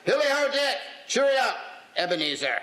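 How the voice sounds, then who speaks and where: Hearty old boss calling out, super high bright voice, boisterous radio play, echoey. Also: male voice, very animated, normal speed, exaggerated voice. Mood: jovial. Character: jolly, booming old merchant master, hearty and boisterous. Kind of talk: live radio-play style stage reading.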